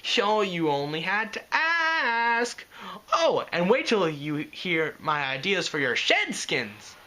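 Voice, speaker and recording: A reader voicing an excitable, bubbly young pony mare with a squealing delivery. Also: medium pitch, slow, voice pushed up, heavily acted. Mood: cheerful.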